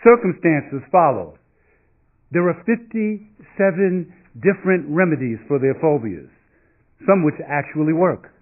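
A middle-aged man reading poetry from a script on stage, in measured, deliberate phrases with dramatic pauses. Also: a little high, expressive, phone-quality sound. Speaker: reader at a literary event. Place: stage in an indoor auditorium. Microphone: stand microphone at a lectern.

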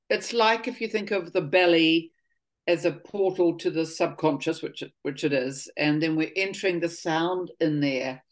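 A woman in her fifties who leads voice-healing workshops talks earnestly on a video call, searching for her words and letting phrases trail off softly. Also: fairly low pitch. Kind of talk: casual spiritual conversation. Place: home living room.

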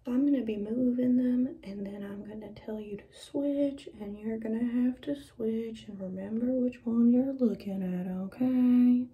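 A woman doing an ASMR roleplay as a clinical examiner, speaking softly, often in whispers, and giving short, playful instructions. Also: a little high, unhurried, hardly any pauses. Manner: soft-spoken, often dropping into whispers. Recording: dull lo-fi audio with clear background noise.

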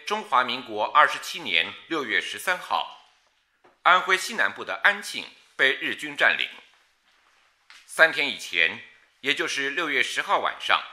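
An actor playing a solemn, urgent 1930s wartime radio announcer, reading a bulletin in a formal, declamatory way. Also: male voice, mid-range voice, sharp tone, exaggerated voice.

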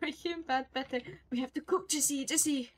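A young woman in her twenties with a fairly high voice, playful and teasing as she calls out plays to her team. She swears freely and jokes at her teammates' expense. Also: moderate pace. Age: 20s. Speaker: streamer. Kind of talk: casual gaming chat with callouts.

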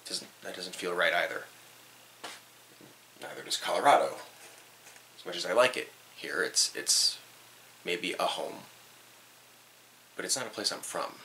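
A man's voice: low voice, animated, measured pace, pauses often, bright, forceful tone, slight background noise, rough recording. A young man reflecting thoughtfully on where he is from, hesitating and repeating words as he thinks, in a small room. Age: young adult. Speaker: vlogger. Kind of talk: reflective personal documentary talk. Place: small bedroom indoors.

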